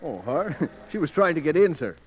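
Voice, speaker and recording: A man's voice: on the high side, big pitch swings, moderate pace, husky, highs cut off. An actor playing a plain-spoken, folksy gallery doorman in 1940s radio drama style. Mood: deferential. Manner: folksy, matter-of-fact. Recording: noisy 1940s radio recording.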